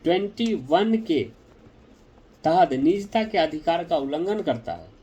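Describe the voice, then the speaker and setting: Brief: male legal explainer, raised voice, slow measured delivery, speaks straight to viewers. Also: fairly high pitch, very animated, dark tone, lo-fi sound. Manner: addresses viewers directly, deliberate and explanatory.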